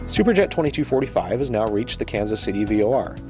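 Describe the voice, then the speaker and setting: A man with a fairly low voice delivers scripted educational narration. He explains in a measured, orderly way, with music playing clearly behind him. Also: lively intonation.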